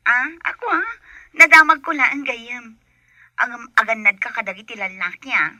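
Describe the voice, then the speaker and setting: A woman's voice: fairly high pitch, lively intonation, normal speed, sharp tone, no room sound. A woman acting a cautious, worried, loyal employee in an Ilocano radio drama, with an Ilocano Filipino accent. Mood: concerned, cautious.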